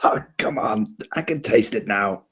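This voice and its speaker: A man's voice: on the low side, animated, measured pace, a bit bright, husky, exaggerated voice. Man as an exasperated, sarcastic first mate. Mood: disgusted. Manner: dry, complaining. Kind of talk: comedy script table read.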